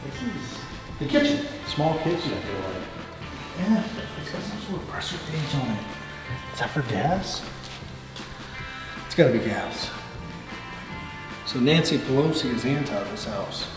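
Guy in his thirties, blunt and crude walkthrough talk, echoey bare rooms with loud music over it. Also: medium pitch, very animated, measured pace, pauses often. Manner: crude joking, blunt opinions. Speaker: real estate vlogger. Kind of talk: casual walkthrough commentary. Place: unfinished house under construction, indoors and outdoors.

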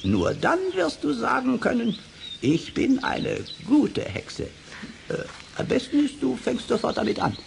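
A man playing a scolding, bossy talking raven with a croaky squawk in a children's radio play. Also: extremely high pitch, huge pitch swings, exaggerated voice. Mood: lecturing, stern. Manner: chiding, emphatic, drawn-out. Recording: noisy old vinyl audio drama.

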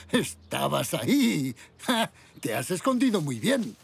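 A man voicing a kindly old grandfather zebra in a children's cartoon, gentle, playful and encouraging, with a Castilian Spanish accent. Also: high voice, sing-song, slow, creaky, husky, faint music behind, full character voice. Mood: kindly amused. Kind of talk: preschool cartoon dubbing.

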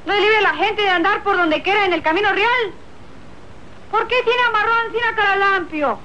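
A sassy young Mexican ranch woman, with a very high, bright voice. She is bold, sly and teasing in an old radio serial, with faint noise on the recording. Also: fairly quick, dull audio. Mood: defiant. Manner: feisty, teasing. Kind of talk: old radio soap opera acting.

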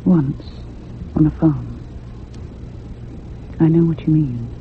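An actress playing a thoughtful, troubled young woman in a radio ghost drama, speaking in a grave, measured, haunted way. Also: sits low. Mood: subdued, thoughtful. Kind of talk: old-time radio drama narration. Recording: muffled phone-like radio recording with hum.

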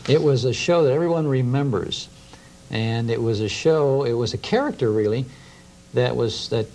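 A man's voice: mid-range voice, normal speed, darkish tone. A middle-aged American man talking in conversation as an interview guest, explaining things with enthusiasm. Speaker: guest, radio historian. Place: small TV studio. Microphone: lapel mic.

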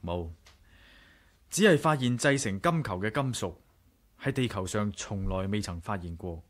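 An actor with a Hong Kong Cantonese accent playing a level-headed, inquisitive adventurer in a 1980s radio drama. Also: male voice, fairly low pitch, expressive, normal speed, close to an everyday voice. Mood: puzzled, frustrated.

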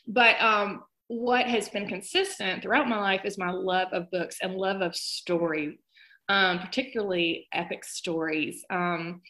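A woman's voice: medium pitch, fairly even intonation, a little slow, sharp tone. Middle-aged woman chatting as interview guest. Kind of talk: casual interview chat.

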